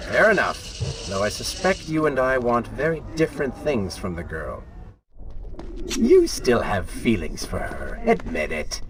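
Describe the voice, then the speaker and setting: An actor voicing the sardonic, mocking and self-serving dark inner voice of a prince in a video game. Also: male voice, mid-range voice, huge pitch swings, a little slow, crowd noise. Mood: sardonic, taunting. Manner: sneering, sarcastic. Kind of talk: video game character acting.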